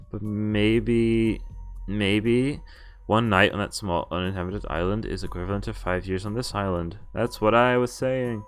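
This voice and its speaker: A man streaming a visual novel, reading the text aloud and breaking off haltingly into muttered casual commentary. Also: on the low side. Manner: halting, often pausing to mutter asides. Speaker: game streamer.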